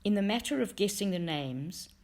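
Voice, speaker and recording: A woman reading a book aloud in an audiobook style, with measured, deliberate phrasing. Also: mid-range voice, animated, a little mellow. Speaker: reader for a book study group.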